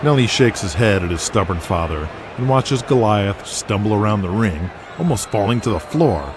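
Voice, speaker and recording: Man, low animated voice, dramatic scripted narration, audio drama storyteller. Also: moderate pace, noisy background.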